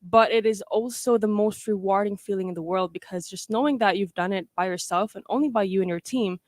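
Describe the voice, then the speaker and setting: A woman's voice: mid-range voice, brisk, darkish tone, dry recording. An eighteen-year-old woman from the Philippines and Norway, chatting casually as an interview guest in a slangy, laid-back way. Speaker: guest, singer and independent artist. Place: radio studio.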